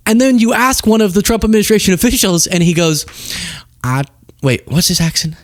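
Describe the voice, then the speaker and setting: A man hosting a political show, talking off the cuff in an animated, sarcastic and self-mocking way. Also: high voice, quick pace. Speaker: political commentary show host. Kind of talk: casual off-the-cuff political commentary.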